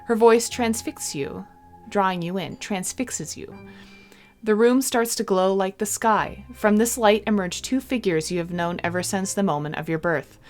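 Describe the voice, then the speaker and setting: A woman reading a visual novel aloud in an expressive storybook style, with casual playful commentary. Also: medium pitch, moderate pace. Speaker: video game streamer. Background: game music.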